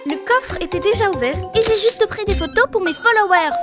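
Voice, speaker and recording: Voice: extremely high pitch, expressive, brisk, loud music behind the voice. A performer voicing a sassy, vain baby girl in a French children's cartoon, pert and exclamatory. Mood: defensive.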